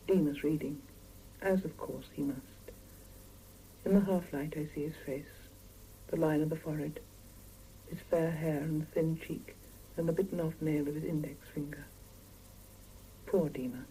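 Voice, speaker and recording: A woman's voice: low-pitched, mellow, rough recording. A worn-out Soviet working wife and mother in a 1970s radio play. She is intimate and reflective as she tells of her week, exasperated with her family. The old mono cassette recording has audible hiss. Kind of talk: 1970s radio drama acting and first-person narration. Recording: hissy 1975 mono radio cassette copy.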